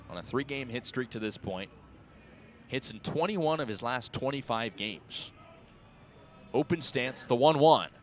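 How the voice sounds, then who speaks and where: A man's voice: mid-range voice, a little hiss or hum. Young man calling college baseball on radio. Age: young adult. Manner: clipped descriptive phrasing, rising excitement on plays. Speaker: baseball play-by-play announcer. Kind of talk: live radio sports commentary.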